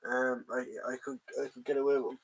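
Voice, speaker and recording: A man's voice: mid-range voice, level tone, fairly quick, heavy background noise. An Irish man with a stuffy nose from a head cold, hosting a casual live chat from home, rambling with plenty of ums and greeting viewers by name. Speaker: livestream host, collector.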